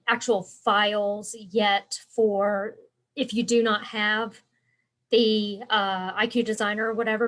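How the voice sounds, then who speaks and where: A woman's voice: mid-range voice, a bit bright. A woman with a congested voice, hosting a casual live stream as an embroidery instructor and chatting with viewers by name. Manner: chatty and rambling, answering viewer comments by name.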